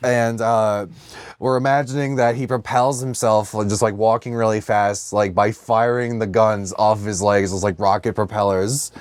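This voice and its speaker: A man's voice: on the low side, little pitch movement, moderate pace, no echo. A man co-hosting a comedy podcast, telling stories in a rambling, profane, slacker way and often saying dude. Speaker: podcast co-host. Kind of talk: casual comedic podcast banter.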